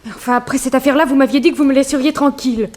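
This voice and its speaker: An actress playing a hard-bitten young model mixed up in crime in a 1960s French radio drama, curt and distrustful. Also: female voice, high-pitched, steady tone, rapid-fire, a little mellow, lightly acted. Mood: defiant. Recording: faintly noisy vintage radio recording.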